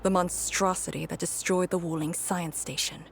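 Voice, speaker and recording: Low, solemn woman soldier. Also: steady tone, vocal fry, a little hiss or hum. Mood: grave, resolute. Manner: measured, grave, earnest. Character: solemn, battle-hardened female frontier soldier. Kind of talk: video game voice acting.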